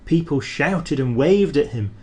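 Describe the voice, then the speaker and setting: Man reading a kids story out loud, lively up and down voice, takes his time. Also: on the high side. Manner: expressive, measured. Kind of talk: storybook read aloud.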